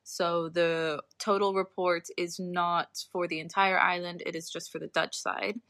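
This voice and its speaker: A woman's voice: lowish voice, little pitch movement, a little slow, fairly bright tone, dry recording, studio quality. A young adult woman talking informally as an interview guest, explaining her research and qualifying points as she goes.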